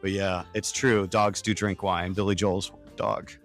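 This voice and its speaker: A man with a fairly low voice tells stories in a casual, wry style. He slips into quoted back-and-forth as he talks, with faint music in the background. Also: little pitch movement, measured pace. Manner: wry, acts out quoted dialogue. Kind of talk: casual anecdotal storytelling.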